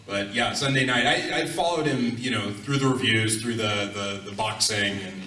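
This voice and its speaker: Bright, forceful male panelist in echoey room. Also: lowish voice, moderate pace. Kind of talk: casual live panel talk. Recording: echoey room, distant mic, faint noise.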